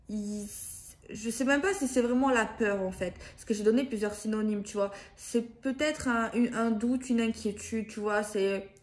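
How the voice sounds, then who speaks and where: Woman, card reader speaking casually to viewers. Unhurried, halting, repeats and rewords as she goes. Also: a little high. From France. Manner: hesitant, circling, repeats and rephrases herself. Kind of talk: improvised spiritual guidance, casual chat to viewers.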